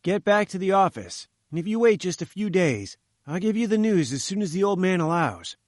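A man's voice: high-pitched, very animated, brisk, no echo, pitched above the performer's own voice. Man voicing breezy young journalist, dry close sound. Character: brisk young male journalist.

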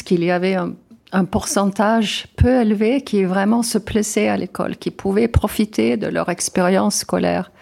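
Older Swedish-accented woman with gravelly voice. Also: medium pitch, a little mellow. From Sweden. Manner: thoughtful, hesitant with many fillers and restarts. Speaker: guest. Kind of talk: interview answers.

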